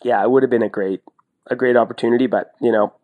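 A man's voice: medium pitch, steady tone, quick pace, phone-quality sound. Talkative man in casual sports chat. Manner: talkative, colloquial, emphatic repetition. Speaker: podcast co-host and sports commentator, former athlete.

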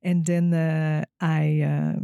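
Podcast guest, female, mellow and gravelly, close dry sound, hesitant storytelling. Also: sits low, little pitch movement, takes it slowly, no echo, highs cut off. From Quebec, Canada. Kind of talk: personal storytelling interview.

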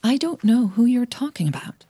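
Woman voicing a snappy, defensive younger woman, pitched up. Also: lively intonation, moderate pace, dark tone, husky, dry recording. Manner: curt, snappy, defiant. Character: frustrated, defensive younger woman, a coached performer.

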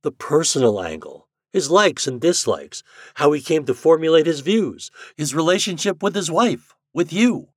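A man voicing a determined male propagandist writer who talks in a forceful, snapping way. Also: a little high, big pitch swings, normal speed, few pauses, breathy edge, dry recording, clean studio sound, voice pushed up. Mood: insistent.

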